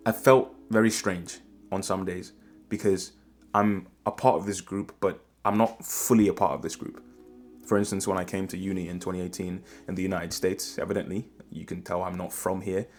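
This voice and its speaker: Deep-voiced man in his twenties. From Ghana. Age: 20s. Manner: earnest and rambling, with restarts. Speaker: vlogger. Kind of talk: reflective personal monologue.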